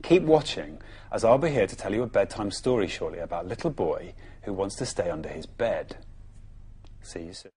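Male, 30s, English accent. Children's story presenter, dramatic delivery with wide melodic swings and a breathy edge. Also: expressive, a little fast. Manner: dramatic, often dropping soft and low. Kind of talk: expressive storytelling for young children. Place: television studio.

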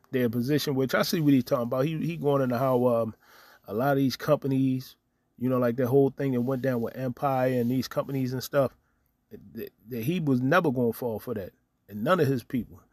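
A man's voice: mid-range voice, a little mellow, dry recording, studio quality. A man giving casual religious commentary on the news, reading scripture aloud and tagging nearly every point with "okay?". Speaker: online preacher commenting on news. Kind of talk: casual religious commentary over screen recording.

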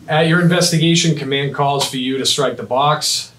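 Man in his thirties, roomy echo, mic at a distance, mid-pitched, procedural trainer demonstrating software. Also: normal speed. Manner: instructional, procedural, short clipped phrases. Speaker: fire dispatch trainer. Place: small indoor office at a computer desk.

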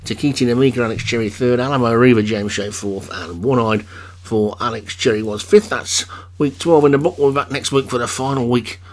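A man commentating a video game horse race for an online league, chatting between calls, his voice getting croaky. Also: mid-range voice, fairly quick.

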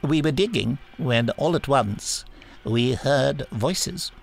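Man doing a prim, gravelly government official. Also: mid-range voice, expressive, slow, voice pushed up, heavily acted. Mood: prim, smug. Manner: prim, precise, smug. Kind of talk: audiobook character acting.

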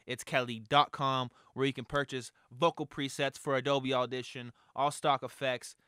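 A man's voice: mid-range voice, level tone, normal speed, pushed and bright, smooth. A young man in a home studio, giving a chatty, upbeat tutorial on mixing vocals, close to a studio microphone. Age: young adult. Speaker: music producer teaching vocal mixing. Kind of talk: casual tutorial. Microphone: close studio mic on arm.

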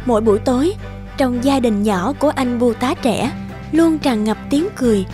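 Gentle woman narrating over music. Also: a little high, moderate pace. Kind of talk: scripted children's story narration. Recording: music behind.

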